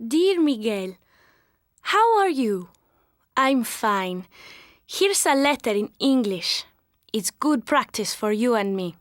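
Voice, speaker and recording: A woman reading a beginners' English course text in a slow, careful, clearly spoken way. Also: fairly high pitch, very animated, dry recording, studio quality. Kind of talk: slow scripted textbook reading.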